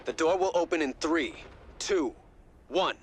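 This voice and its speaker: Composed young commander over comms. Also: male voice, on the high side, measured pace, very noisy. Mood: cautious. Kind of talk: video game voice acting.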